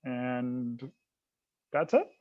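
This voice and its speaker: A man's voice: medium pitch, big pitch swings, extremely slow, phone-quality sound, noisy background. A man from Puerto Rico whose first language is Spanish, a finance director chatting in a friendly, easygoing way with small hesitations. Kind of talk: casual panel chat.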